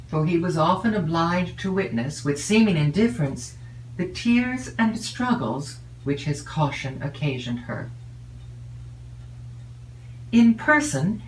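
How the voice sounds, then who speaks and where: A woman narrates classic literature in a roomy space, a little away from the microphone. Her reading is measured and expressive, lingering over phrases. A faint noise sits under the voice. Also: low-pitched, far from the mic. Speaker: audiobook narrator.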